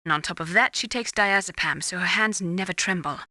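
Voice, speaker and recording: Crisp, poised female scientist. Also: lowish voice, pushed and bright, no room sound. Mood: clinical, measured. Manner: precise, measured, explanatory. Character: poised, clinical female scientist advising a soldier. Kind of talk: video game radio briefing acting.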